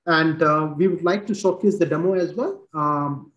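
A man in his forties with an Indian accent, presenting a technical product demo over a video call, halting with many fillers and restarts, speaking into a headset. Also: a little high, fairly bright tone, dull audio. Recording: roomy video call audio.

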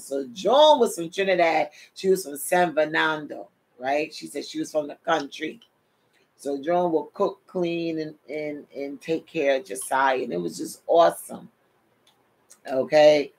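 A woman's voice: sits low, unhurried, creaky. A middle-aged woman from New York with a New York accent and Caribbean touches, giving a sermon-style testimony from a home office over a webcam, speaking animatedly and calling listeners "people". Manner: animated, addresses listeners as "people", gestures with hands. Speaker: preacher and show host. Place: home office, seated in desk chair.

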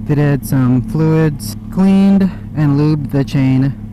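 A man's voice: fairly high pitch, slow. A young American man chatting casually and in a laid-back, slangy way as he vlogs from inside a motorcycle helmet. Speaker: motorcycle vlogger. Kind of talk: casual vlog chat while riding. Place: outdoors, in a motorcycle helmet on the road. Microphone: microphone inside helmet. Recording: helmet mic with engine and street noise. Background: motorcycle engine and traffic.

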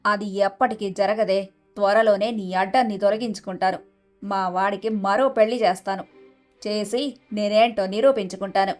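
A woman playing a haughty, scheming middle-aged mother-in-law in an animated moral story, with a scolding, sharp-tongued manner. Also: mid-range voice, moderate pace, soft background music, natural voice.

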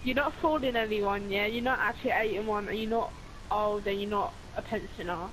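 A woman's voice: medium pitch, a little fast, fairly bright tone, a little hiss or hum, low-quality recording. A woman on game voice chat, teasing and doubting another player. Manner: teasing, skeptical. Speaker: online game teammate.

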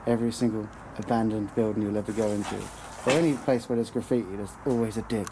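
A man's voice: lowish voice, fairly even intonation, quick pace. A young man with an English accent narrating his walk through an abandoned building, joking crudely and swearing often. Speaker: urban explorer vlogger. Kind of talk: casual exploring commentary. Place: dark abandoned burnt-out building, indoors. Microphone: handheld camera. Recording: noisy handheld camera audio.

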